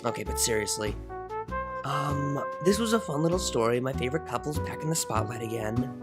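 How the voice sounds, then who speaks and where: A woman's voice: very deep voice, expressive, brisk, over loud music. A young adult woman who narrates fan fiction and chats casually as host of her channel.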